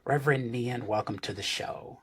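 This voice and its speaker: A man hosts a podcast, introducing his guest and then asking about her life. His voice is slightly husky, and his friendly questions stall on plenty of ums and uhs. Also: mid-range voice, a bit bright. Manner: friendly, halting with many fillers. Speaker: podcast host. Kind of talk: casual interview.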